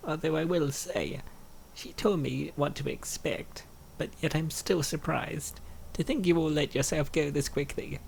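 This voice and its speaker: A man reads out comic dialogue in character. His voice is heavily fried and gravelly, set a little higher than most men's. Faint noise sits behind the recording. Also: measured pace, rough recording. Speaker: comic dub voice actor. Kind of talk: acted reading of comic dialogue.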